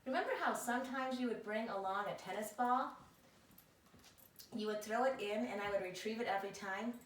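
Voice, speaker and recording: A woman's voice: mid-range voice, lightly acted. A woman voicing a melodramatic, self-pitying male pet dog with theatrical, wheedling complaints in a picture-book read-aloud. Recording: echoey room, distant microphone.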